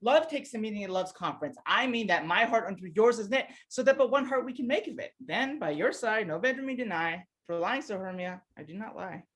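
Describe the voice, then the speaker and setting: A woman's voice: medium pitch, expressive, fairly quick, few pauses, fairly bright tone, lightly acted. A woman playing an ardent young male lover, speaking verse in a stage play. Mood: tender. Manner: declamatory verse delivery. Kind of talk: Shakespearean stage acting.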